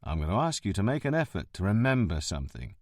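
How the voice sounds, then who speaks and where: A man plays a shrewd police chief with a wry, measured way of speaking, set a little lower than his narration. The reading is close and dry, with a lively lilt kept under control. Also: dry recording.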